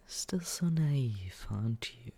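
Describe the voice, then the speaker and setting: A man playing a smug, condescending but secretly caring young roommate in a teasing ASMR roleplay, with breathy, husky whispering and murmuring. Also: medium pitch, expressive, warm tone, heavily acted. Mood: mocking, playful. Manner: teasing, condescending, slow drawl.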